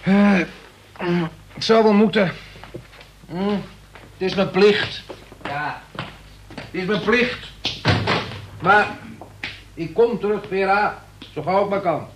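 An actor playing a meek, dutiful son, hesitant and humming, in a Dutch radio play. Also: male voice, high-pitched, slow, pauses often, roomy sound. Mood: apologetic, hesitant. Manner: hesitant, mumbling hums. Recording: slightly noisy old recording.

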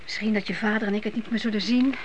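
A woman's voice: mid-range voice, fairly even intonation, fast, natural voice. An actress playing a devout middle-aged mother of grown sons from biblical times in a radio play, earnest and motherly. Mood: earnest, reflective. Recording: noisy old radio play recording.

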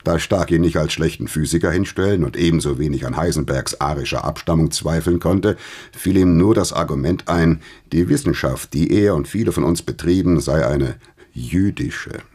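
An actor playing a grave older German physics professor in a radio drama, speaking in a husky, deliberate, pointed way. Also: male voice, extremely low pitch, darkish tone, heavily acted. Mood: grave, sardonic.

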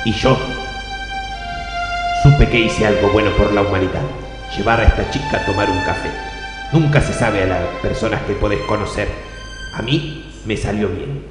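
A man's voice: on the low side, normal speed, long pauses, lightly acted. An actor playing a sheepish, easygoing factory foreman who tells his tale in a comedic radio play. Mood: wistful. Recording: echoey hall with loud music behind.

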